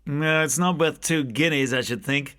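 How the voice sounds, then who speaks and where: A man voicing a genial, opinionated male professor in an audiobook ghost story, chatting in a casual, measured academic way. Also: a little high, fairly bright tone, close to an everyday voice. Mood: mildly appreciative.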